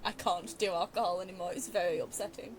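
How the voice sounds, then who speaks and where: A woman's voice: fairly low pitch, steady tone, quick pace, slight background noise. A woman giving casual, rambling, self-deprecating commentary over a game playthrough. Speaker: gaming video creator.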